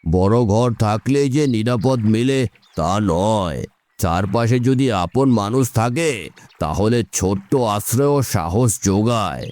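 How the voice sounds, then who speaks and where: A man playing an earnest, seasoned village boatman, moralizing in an animated story. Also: on the low side, measured pace, no echo. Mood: earnest, reflective.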